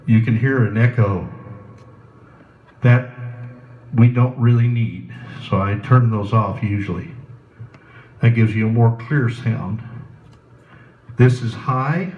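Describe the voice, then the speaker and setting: A man giving a slow, plain hands-on demonstration of setting up a sound system indoors, pausing often with fillers. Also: mid-range voice, phone-quality sound. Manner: unhurried, plain instructions with frequent pauses and fillers. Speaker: ministry volunteer demonstrating sound gear.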